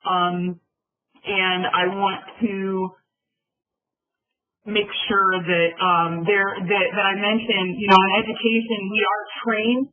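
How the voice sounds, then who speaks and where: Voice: medium pitch, flat delivery, slow pace. A faculty member from a college of education raises questions carefully, with polite apologies and restarts. The voice comes through a narrow, phone-like video call connection. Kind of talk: town hall discussion.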